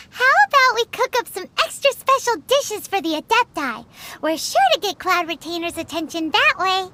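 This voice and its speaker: A tiny fairy sidekick from a video game, cheerful and childlike. The voice is extremely high and squeaky, swinging up and down in pitch at an unhurried pace. She sounds perky and exclamatory. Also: lively intonation, sharp tone, a little hiss or hum, exaggerated voice. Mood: excited. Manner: perky, exclamatory, refers to self in third person. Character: tiny excitable childlike female fairy companion, cheerful and food-loving. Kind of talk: video game character dialogue.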